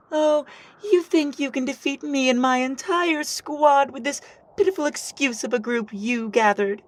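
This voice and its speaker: A woman's voice: sits high, animated, takes it slowly. A woman voicing a smug, taunting alien enemy officer in an animation, with a haughty, mocking delivery. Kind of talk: amateur animation voice acting.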